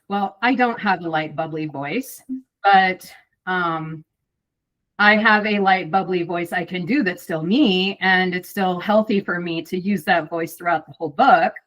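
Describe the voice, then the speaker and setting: A woman's voice: lowish voice, slow pace, fairly bright tone, dull audio. A middle-aged woman, an audiobook narrator and voice actor, chatting casually in an interview, talkative with many fillers and restarts.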